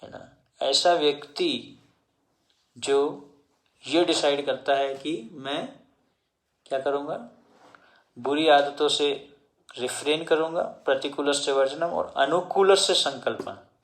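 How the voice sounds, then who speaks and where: A man giving a devotional discourse in Hindi, slowly explaining scripture verses and mixing in English words. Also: a little high, low-quality recording. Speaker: devotional speaker.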